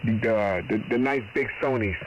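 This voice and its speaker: A man's voice: on the low side, moderate pace, highs cut off. A man from Boston in casual, folksy, rambling conversation, heard over shortwave amateur radio. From Boston, United States. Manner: folksy, rambling, frequent "man" and "you know". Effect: heard over shortwave ham radio. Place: home radio shack. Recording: noisy shortwave radio transmission. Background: radio static.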